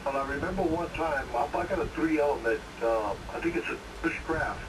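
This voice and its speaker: A man's voice: fairly high pitch, fairly quick. A man chatting casually as an amateur radio operator over a shortwave link, rambling in a folksy way with lots of "you know". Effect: shortwave radio transmission. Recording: noisy distant ham radio signal.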